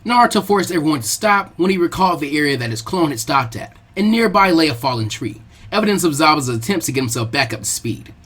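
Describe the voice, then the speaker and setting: A man's voice: on the high side, expressive, quick pace, a bit bright, breathy edge. A man reading fan fiction aloud as a dramatic scripted narration.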